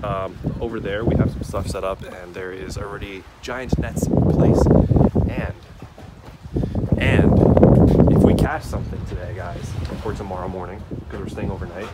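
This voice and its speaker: A man's voice: fairly low pitch, very noisy. A young man casually talking to camera while out fishing, upbeat and chatty with plenty of fillers, filmed on a handheld camera outdoors. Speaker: fishing vlogger. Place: outdoors on a wooden dock by the sea. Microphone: handheld camera at arm's length.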